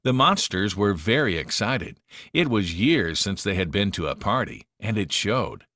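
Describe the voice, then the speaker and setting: A man narrating a children's storybook in an expressive, dramatic storytelling manner. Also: fairly low pitch, unhurried, no room sound, studio quality.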